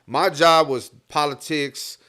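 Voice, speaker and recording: A man's voice: fairly high pitch, fairly even intonation, slow pace, sharp tone. A man from Texas with a Texan accent, a guest rapper telling stories in casual podcast chat, profane and loose with lots of fillers. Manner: laid-back, profane storytelling with lots of fillers.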